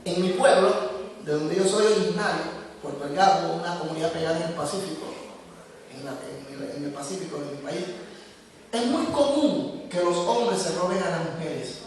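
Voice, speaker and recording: A male pastor with a high-pitched voice delivers a sermon, speaking to the congregation directly in a conversational way. He sounds distant from the microphone, and the large church hall carries a long, ringing echo. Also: normal speed. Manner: conversational preaching, addresses listeners directly. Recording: echoey hall from far off.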